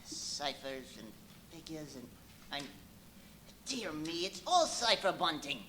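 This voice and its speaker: A woman playing a fussy, nervous doctor in a live radio play, muttering in a halting, fretful way. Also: deep voice, sing-song, bright, forceful tone, exaggerated voice. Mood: puzzled, fretful. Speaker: stage radio play actor. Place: live performance at a brewery venue. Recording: live stage recording, light noise.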